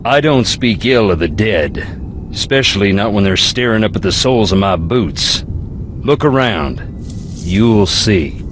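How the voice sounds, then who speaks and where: Over clear background noise comes a brooding Southern US young man from an adventure game. His voice sits low, with a folksy drawl. He muses philosophically with dry, wry wit. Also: lively intonation, unhurried, a bit bright. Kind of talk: video game character dialogue.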